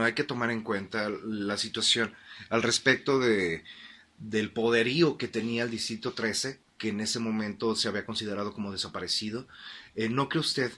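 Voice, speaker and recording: A man plays an inquisitive male interviewer on a fictional history programme. His voice is fairly low yet bright and forward. He puts questions formally and a little haltingly, in radio drama style. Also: fairly even intonation.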